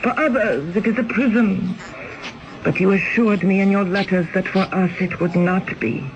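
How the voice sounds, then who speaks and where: An actress playing a spoiled, discontented young wife in a radio drama, haughty and complaining. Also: female voice, mid-range voice, normal speed, close to an everyday voice. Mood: aggrieved. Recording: muffled lo-fi radio drama broadcast.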